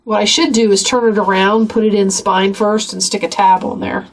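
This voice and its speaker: A woman with a gravelly voice from a cold, chatting casually as she flips through a craft journal. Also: medium pitch, flat delivery, moderate pace, low-quality recording. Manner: chatty, rambling, with many fillers. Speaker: craft channel host.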